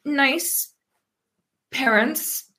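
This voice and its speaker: A woman's voice: sits high, very slow, pushed and bright. A woman in her thirties from Pennsylvania, a guest in a casual interview, talking thoughtfully with many ums and restarts. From Pennsylvania, USA.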